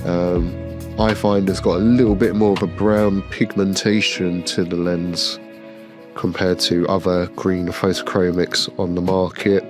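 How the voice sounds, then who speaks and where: A deep-voiced man talks slowly and easily through a vlog, a little nasal from a cold. Music plays clearly underneath. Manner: relaxed, enthusiastic product talk with fillers. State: has a cold. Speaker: optician showing a frame job. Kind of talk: casual workshop vlog narration.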